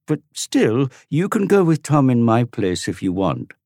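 A man voicing a quiet, gentle teenage boy who speaks softly. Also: mid-range voice, big pitch swings, measured pace, no room sound, studio quality, pitched above the performer's own voice. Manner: soft-spoken.

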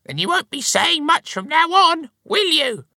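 Storybook grumpy wife: very high-pitched, sharp, scornful, dopey and snorting. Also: male voice, very animated, unhurried, vocal fry, dry recording, clean studio sound, exaggerated voice. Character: dopey, grumpy, ugly middle-aged wife, scornful and bellowing.

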